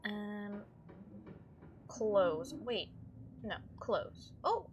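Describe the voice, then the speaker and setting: A woman's voice: medium pitch, animated, drawn-out words, dull audio, slight background noise. A woman hosting a gaming playthrough, chatting and reacting aloud as she reads the game's text. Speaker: let's play host. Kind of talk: gaming commentary mixed with reading visual novel text aloud.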